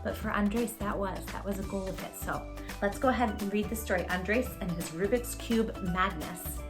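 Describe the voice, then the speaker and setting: A woman's voice: medium pitch, normal speed, over music. A woman hosting a children's read-aloud, chatting to young listeners in an encouraging way and addressing them directly with questions. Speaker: host reading picture books aloud to children.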